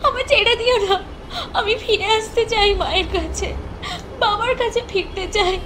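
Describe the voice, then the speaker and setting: A woman voicing a terrified little girl in an audio horror story, with a thin childlike voice and drawn-out, sobbing cries. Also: extremely high pitch. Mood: desperate, tearful pleading. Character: terrified little girl pleading for her parents. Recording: loud noise behind the voice.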